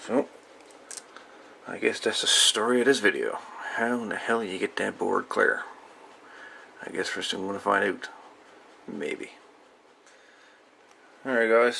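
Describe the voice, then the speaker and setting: Gravelly, deep-voiced man. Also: bright, forceful tone, a little hiss or hum. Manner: unhurried, thinking aloud, folksy. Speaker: hobbyist radio repairer presenting a video. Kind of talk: casual hands-on repair narration.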